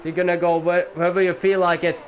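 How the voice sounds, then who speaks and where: Young male league commentator, rambly and jokey, slightly high-pitched. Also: little pitch movement, fast, dull audio, a little hiss or hum. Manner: rambling, chatty, jokey asides to viewers. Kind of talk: live sim-racing commentary.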